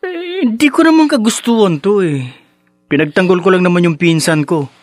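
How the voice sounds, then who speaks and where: A man's voice: high voice, normal speed, no room sound, studio quality, close to an everyday voice. A man with a Filipino accent playing a hotheaded, defiant young son in a radio drama, protesting stubbornly and whinily. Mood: pleading. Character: hotheaded, defiant young son who keeps getting into fights.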